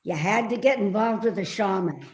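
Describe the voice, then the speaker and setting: A woman playing a sharp-tongued, evasive elderly mother in a readers theater play, with a dry, needling, dismissive delivery. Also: mid-range voice, moderate pace, gravelly, lightly acted. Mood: prying, needling.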